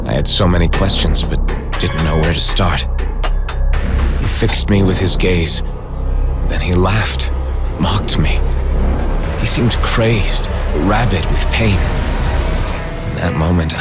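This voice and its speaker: A man playing a solemn, reflective clone who narrates his memories in a video game, grave and measured. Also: low-pitched. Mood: grave, conflicted.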